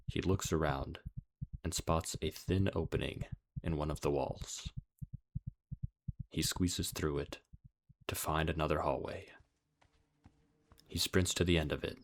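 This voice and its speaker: Man, scripted story narration, extremely low pitch, flat intonation, somber, some background noise. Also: creaky. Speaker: video essay narrator.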